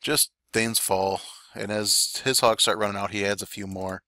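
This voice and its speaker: A man talks through a strategy game replay in easygoing play-by-play, stopping for fillers and a cough here and there. His voice sits on the lower side but has a sharp, forceful edge, and it has gone hoarse and raspy today. The recording is dry and close, with no room sound. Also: level tone, moderate pace, no echo. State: voice getting raspy. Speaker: clan war recap commentator. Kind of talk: gameplay commentary.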